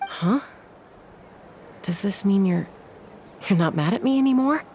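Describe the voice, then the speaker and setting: An actress playing a reserved young woman who works as a peacemaker in a video game, speaking slowly and hesitantly. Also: medium pitch, lively intonation, lightly acted. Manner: slow, hesitant, gravelly delivery. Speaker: game character. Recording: game audio with music underneath.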